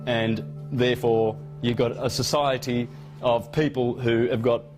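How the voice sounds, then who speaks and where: A man's voice: mid-range voice, flat delivery, measured pace. A man giving an informal, emphatic lecture on esoteric symbolism, repeating key words and throwing in scornful asides. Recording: loud music behind the voice. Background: music.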